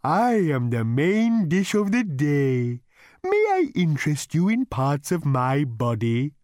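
A man voicing a polite, eager talking cow that offers itself as dinner, in a slow, genteel, ingratiating manner. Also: fairly high pitch, huge pitch swings, darkish tone, no echo, clean studio sound, pitched above the performer's own voice, heavily acted. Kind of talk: audiobook character voice.

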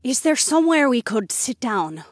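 A woman's voice: high-pitched, big pitch swings, normal speed, dry recording, studio quality. A woman voicing a composed, candid, drily cautious female mage in audiobook dialogue.

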